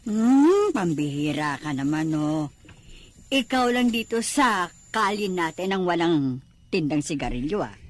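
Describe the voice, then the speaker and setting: An actress playing a nosy, grumbling Filipino shopkeeper in a radio drama, exclamatory and complaining. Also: female voice, on the low side, very animated, measured pace. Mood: incredulous, grumbling. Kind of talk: radio drama acting in Tagalog.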